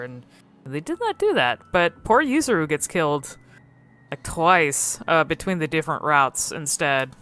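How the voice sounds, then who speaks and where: A woman giving casual game commentary as a video creator, thinking aloud in a halting, musing way. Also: sits low, a bit bright, clean tone.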